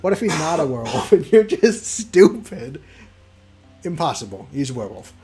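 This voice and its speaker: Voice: medium pitch, big pitch swings, moderate pace, darkish tone, breathy edge, soft background music. A streamer playing a visual novel, reading it aloud with goofy, joking asides.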